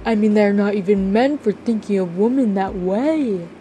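A woman voicing a remorseful middle-aged father, halting and earnest, in an amateur roleplay drama. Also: medium pitch, warm tone, slight background noise, close to an everyday voice. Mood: apologetic. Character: remorseful middle-aged father apologizing to his grown daughter.

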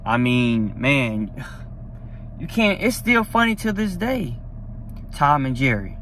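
Young man, casual rambling vlog, phone mic in a car, faint background noise, middle pitch. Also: big pitch swings, takes it slowly, fairly bright tone. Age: young adult. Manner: rambling, enthusiastic, many fillers. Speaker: vlogger. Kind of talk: casual talk to camera. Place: parked car, indoors. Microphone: phone at arm's length.

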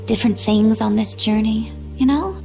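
A woman voicing a serene, coy young female warrior in a video game, with a quietly wistful manner. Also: a little high, music in the background, natural voice. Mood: coy, gentle. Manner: calm, coy, unhurried. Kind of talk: video game cutscene acting.

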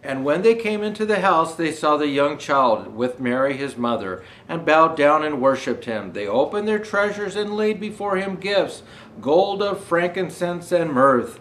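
An older man in a Santa role reading a children's storybook aloud, deliberately and with expressive rises on his phrases. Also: fairly high pitch, rough recording. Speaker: storyteller dressed as Santa Claus.

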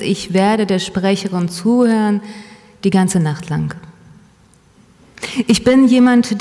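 A woman's voice: medium pitch, mellow. A woman whose first language is Russian reads her own essay aloud in a reflective manner, with asides to a live audience. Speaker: author giving a talk. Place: large hall with a live audience. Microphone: table microphone, seated at a panel table. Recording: long hall reverb.

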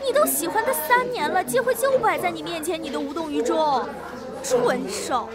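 A young woman playing a lively, bossy, teasing high school girl in an amateur audio drama. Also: moderate pace, loud music behind the voice, over a noisy crowd. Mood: teasing, pushy.

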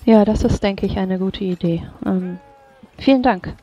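A woman plays out a scene in a tabletop roleplaying game. She speaks at a middle pitch and sounds polite and formal, like an official asking questions. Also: measured pace, mellow, husky, highs cut off. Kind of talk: in-character roleplay dialogue in an online game.

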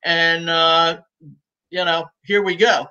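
A woman in her sixties, talking as a guest on a video call from home, chatty and easygoing and quick to laugh. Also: fairly low pitch, little pitch movement, pushed and bright, smooth, muffled recording. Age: 60s. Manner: talkative and easygoing, laughs often. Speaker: guest, cigar shop owner. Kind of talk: casual interview chat. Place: room at home.

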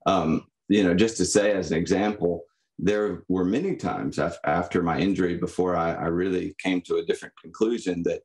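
A young man giving reflective, personal answers as a podcast guest, in a halting, thoughtful manner. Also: deep voice, steady tone, darkish tone. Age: young adult. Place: indoor room, on a video call. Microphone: condenser microphone.

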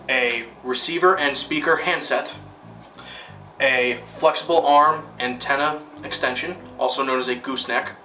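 A man narrating a scripted instructional film in a deliberate, formal, step-by-step manner. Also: mid-range voice, sharp tone, recorded from a distance, rough recording.

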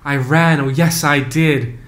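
Young man, late teens, student poet; deliberate, solemn reading, little pitch movement, unhurried pace. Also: fairly even intonation, a bit bright. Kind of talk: poetry reading. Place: living room, close webcam. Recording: faint rumbling background noise.

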